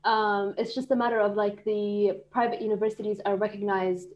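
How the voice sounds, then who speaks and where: A woman's voice: medium pitch, level tone, moderate pace, darkish tone, highs cut off. A woman in her twenties from Saudi Arabia, a native Arabic speaker, chatting casually as a podcast guest and telling stories with lots of likes and fillers. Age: 20s. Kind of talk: casual chat.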